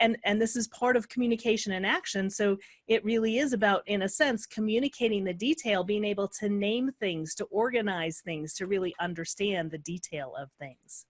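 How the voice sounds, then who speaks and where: A woman hosts a live online discussion at a middle pitch. She speaks in a measured, guiding way and draws the panel in with questions. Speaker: host.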